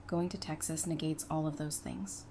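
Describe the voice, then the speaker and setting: Lowish-voiced practical female survivor played by an actress. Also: steady tone, normal speed, background noise, close to an everyday voice. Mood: explaining. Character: practical, easygoing adult woman, a survivor and counsellor. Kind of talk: audio drama acting.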